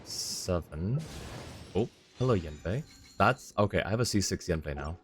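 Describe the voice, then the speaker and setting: A man's voice: sits low, expressive, normal speed. A man chatting casually on a game livestream, thinking aloud in a rambling, easygoing way. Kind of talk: casual livestream chat while playing a game. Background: background game music.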